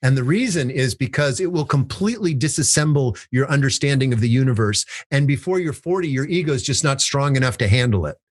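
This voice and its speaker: A man's voice: mid-range voice, lively intonation, a little fast, few pauses, no room sound, clean studio sound. A man co-hosting a casual podcast, talking through ideas in a thoughtful way and using made-up examples. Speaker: podcast co-host.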